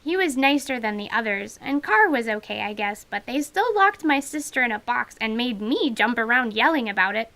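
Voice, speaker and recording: A woman's voice: a little high, animated, normal speed, few pauses, sharp tone. A woman voicing a bold, blunt little girl in a radio drama, speaking frankly. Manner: frank, chattery, plain-spoken.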